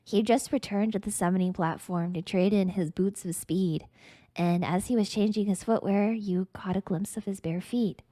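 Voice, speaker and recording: A woman's voice: mid-range voice, normal speed, warm tone, no echo. A woman streamer reading a story aloud in a theatrical, mock-grandiose, dramatic narrating style.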